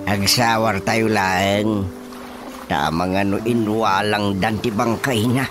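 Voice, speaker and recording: A man with an Ilocano accent voicing a worried father and village chairman in a radio drama, speaking slow and heavy. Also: fairly low pitch, steady tone. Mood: thoughtful. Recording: radio drama with loud music behind.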